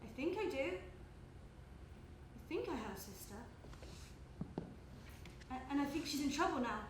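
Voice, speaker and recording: A young woman acting in a live stage play as a gentle, lost woman with no memory, speaking hesitantly and repeating herself. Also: high voice, expressive. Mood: sad, anxious. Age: young adult. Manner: hesitant, halting, repeats phrases. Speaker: student stage actor. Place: indoor theatre stage, live performance. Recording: roomy live stage recording, background noise.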